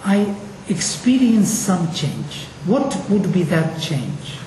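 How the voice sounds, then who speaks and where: Russian accent, lecturer, mellow voice, unhurried explaining, reverberant hall, distant mic. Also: mid-range voice, sing-song, a little hiss or hum. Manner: slow, deliberate explaining. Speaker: lecturer on linguistics. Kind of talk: course lecture.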